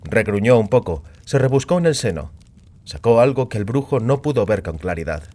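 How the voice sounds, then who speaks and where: A man's voice: mid-range voice, very animated, a little fast, warm tone. A man reading scripted narration for a fantasy audiobook.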